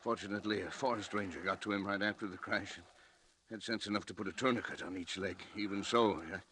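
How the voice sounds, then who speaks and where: A fairly low-voiced, conscience-stricken male doctor speaks with worry and hesitation. The style is old-time suspense drama, with some noise on the vintage recording. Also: steady tone, quick pace, sharp tone. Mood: uneasy. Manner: hesitant, worried. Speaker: actor in a radio suspense drama. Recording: noisy vintage radio broadcast recording.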